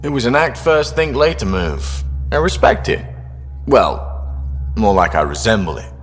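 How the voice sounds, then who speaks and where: A man's voice: fairly low pitch, very animated. A man playing a roguish, easygoing space drifter in a video game, with a loose, teasing delivery. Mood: teasing, laid-back. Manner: loose, teasing, drawn-out phrases. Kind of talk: video game dialogue acting. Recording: long reverb, music behind.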